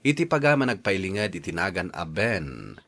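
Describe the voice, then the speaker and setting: A man with an Ilocano accent hosting a radio program, giving advice and announcements in drawn-out, dramatic phrasing. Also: deep voice, sing-song, a bit bright.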